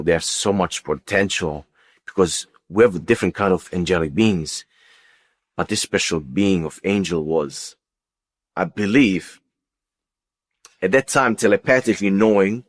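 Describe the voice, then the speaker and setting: Low voiced guy, telling his own story, kinda halting, repeats himself for emphasis. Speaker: guest giving a personal testimony. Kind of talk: conversational personal storytelling.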